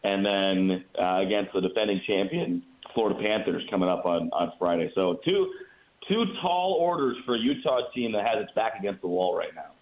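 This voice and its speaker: A man's voice: low-pitched, animated, normal speed. An American man, a radio play-by-play announcer, giving conversational sports analysis as a guest over a remote call. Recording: muffled phone-like call.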